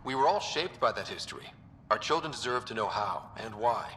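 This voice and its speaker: A bright, fast-talking voice with plenty of melody: a young male space explorer in a sci-fi game. He is direct and earnest, heard in a long reverb. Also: medium pitch, lively intonation, a little hiss or hum. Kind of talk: video game dialogue. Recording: long reverb, music behind.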